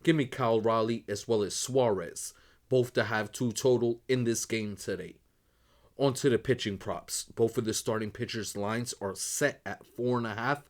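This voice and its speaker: Low-voiced man, hoarse, reading betting picks. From Northeast United States. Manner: brisk, listing picks in a set routine. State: losing his voice. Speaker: sports betting picks host. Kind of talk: rapid betting picks rundown.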